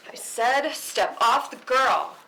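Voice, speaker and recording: Woman acting a brash, sharp-voiced reckless vigilante. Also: a little high, lively intonation, takes it slowly, exaggerated voice. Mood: menacing. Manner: cocky, flippant, sweary. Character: brash, reckless, foul-mouthed vigilante woman, defiant, thrill-seeking. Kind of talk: film script table read. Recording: noisy live room recording with clicks.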